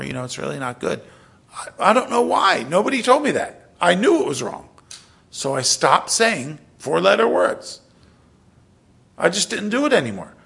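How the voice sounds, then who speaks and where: A middle-aged male pastor with a gravelly, husky voice pitched a little high. He preaches in a loose, conversational way, restarting his sentences, then pushing up to emphatic peaks before dropping almost to a whisper. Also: fairly bright tone. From South Philadelphia. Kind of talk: sermon.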